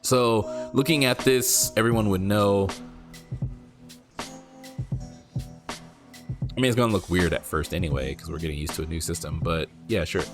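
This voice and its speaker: A man who is not a native English speaker, casually thinking aloud while he reads and reacts to a card game article, rereading lines and breaking into excited exclamations. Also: sits low, measured pace, long pauses, over music. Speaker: card game content creator.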